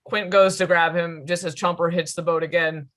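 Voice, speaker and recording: A woman's voice: on the low side, level tone, moderate pace, a bit bright. A woman reading stage directions as scripted narration at a table read.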